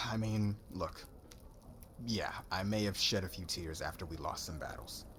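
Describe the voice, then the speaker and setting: A male actor plays a cocky, guarded young warrior in an ASMR roleplay. His voice is low and unhurried, moving freely up and down as he talks in brash slang. Clear ambient noise sits behind him.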